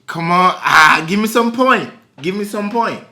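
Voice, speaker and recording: A man's voice: high-pitched, lively intonation, a little slow, sharp tone. A man from New York hosting a prank video call, talking playfully in a put-on Jamaican patois. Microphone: phone on a video chat app.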